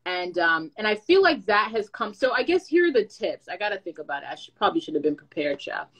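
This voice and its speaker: A woman who is a content creator and brand manager, giving advice in a lively, chatty way with playful asides. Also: mid-range voice, fast talker, poor audio. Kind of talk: casual advice talk.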